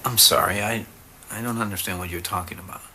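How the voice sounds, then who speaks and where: A man's voice: on the low side, fairly even intonation, fast talker, pushed and bright, a little hiss or hum. A man playing a slick, defiant defense attorney in an audio drama, sarcastic and dismissive.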